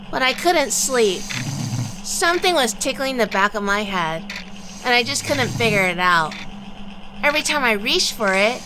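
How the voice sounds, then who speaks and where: A woman playing a sharp-tongued, determined female sleuth with dry, teasing banter in a noir-flavoured audio drama. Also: fairly high pitch, background noise.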